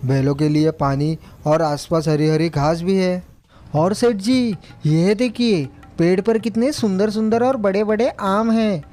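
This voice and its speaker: A man's voice: high voice, fairly even intonation, unhurried, dark tone, faint music behind. A man playing a naive, respectful cart driver in a moral story, speaking earnestly and deferentially. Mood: respectful, puzzled. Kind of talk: acted moral story dialogue.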